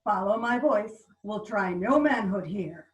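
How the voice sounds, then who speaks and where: A woman's voice: medium pitch, expressive, unhurried, lightly acted. A woman playing a mischievous, taunting goblin sprite in a theatrical Shakespeare reading over a video call. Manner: theatrical, teasing. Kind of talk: Shakespeare table read in verse. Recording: muffled video call, distant mic.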